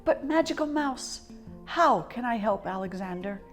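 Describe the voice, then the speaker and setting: A woman's voice: a little high, lively intonation, measured pace, soft background music, voice pushed up. A woman voicing a kind, anxious old lady in a storybook, tender and given to exclaiming. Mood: pleading. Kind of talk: storybook character voice.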